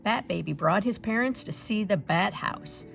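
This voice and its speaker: A woman reading a children's picture book aloud in an expressive storytelling style, acting out the sounds and dialogue. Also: mid-range voice, moderate pace, creaky, faint music behind. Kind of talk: animated read-aloud narration for children.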